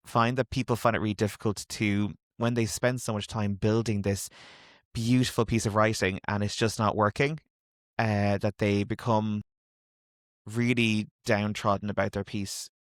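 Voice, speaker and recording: A man's voice: fairly low pitch, level tone, normal speed, dry recording, studio quality. A man hosting an interview podcast, hesitant with many ums as he asks his questions. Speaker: podcast host, drama teacher. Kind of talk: casual interview chat.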